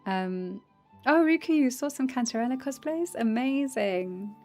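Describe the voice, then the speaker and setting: A woman with a deep voice, chatting casually on a livestream as a streamer and voice actor, with fillers and frequent laughs. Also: animated, measured pace, darkish tone, soft background music. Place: home room, indoors.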